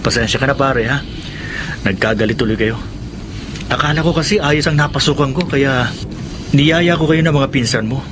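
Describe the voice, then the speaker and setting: An actor playing an easygoing, apologetic friend in a radio drama, speaking in a conciliatory, sympathetic way. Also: male voice, medium pitch, normal speed, long pauses, noisy background, close to an everyday voice.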